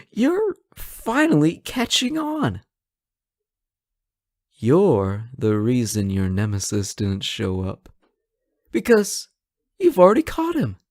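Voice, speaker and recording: Unhurried young actor as a smitten superhero. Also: male voice, mid-range voice, huge pitch swings, darkish tone, no echo, clean studio sound, full character voice. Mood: teasing, amused. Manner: playful, stammering when shy. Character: teasing, flustered male superhero smitten with his nemesis. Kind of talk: romantic roleplay audio acting, addressed to listener.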